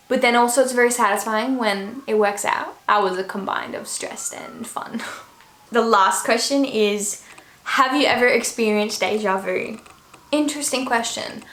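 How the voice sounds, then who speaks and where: A young Australian woman chats through viewer questions in an easy, rambling way, with plenty of fillers and trailing asides. Her voice sits at a middle pitch. The room is echoey, with faint noise underneath. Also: fairly bright tone. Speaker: YouTuber. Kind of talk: casual Q&A vlog.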